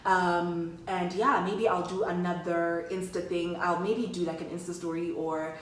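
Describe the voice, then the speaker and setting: A woman's voice: fairly low pitch, level tone, unhurried, slight background noise. Chatty woman, roomy distant sound. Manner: chatty, slangy, addresses viewers as guys. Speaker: vlogger. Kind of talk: casual storytime chat to camera.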